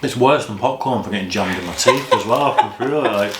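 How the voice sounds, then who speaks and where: A man's voice: fairly low pitch, fast talker. A man chatting casually, with dry, blunt and teasing remarks while tasting snacks. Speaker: vlogger. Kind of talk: casual chat. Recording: echoey room, faint noise.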